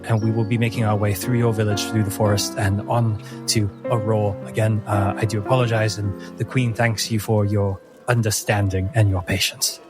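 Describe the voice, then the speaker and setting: A man's voice: on the low side, level tone, brisk, few pauses, over music, natural voice. A man playing a polite, formal uniformed royal guard in a fantasy tabletop game, courteous on the surface. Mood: apologetic, courteous.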